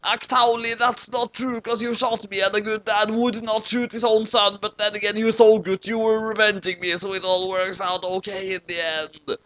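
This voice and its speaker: A man's voice: high-pitched, little pitch movement, normal speed, few pauses, sharp tone, voice pushed up. A man voicing an earnest, absurdly forgiving wounded son, played melodramatically in a comic story reading.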